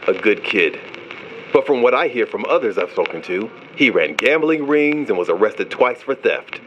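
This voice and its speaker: A man's voice: on the low side, sing-song, moderate pace, a bit bright, lo-fi sound, slight background noise. An actor playing a stern ship's investigator in an audio drama, firm, commanding and skeptical as he questions a suspect. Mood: stern, controlled. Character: stern, authoritative male ship's investigator running an interrogation.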